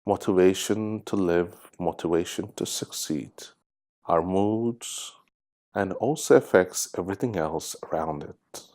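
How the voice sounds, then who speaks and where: A man narrating a guided meditation in measured, deliberate phrases with pauses. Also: low-pitched, a little mellow. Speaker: guided meditation host, hypnosis therapist.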